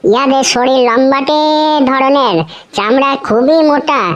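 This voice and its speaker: A woman's voice: high voice, normal speed, muffled recording, natural voice. A woman voicing a cheeky, bluffing village schoolkid in a comedy cartoon, with slangy teasing speech. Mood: reciting earnestly.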